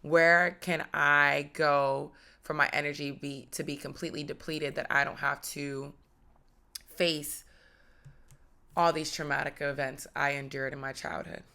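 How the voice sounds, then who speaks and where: Flat-voiced woman with vocal fry. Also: sits low. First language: Portuguese. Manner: candid, reflective, measured. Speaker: podcast host. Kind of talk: personal storytelling monologue.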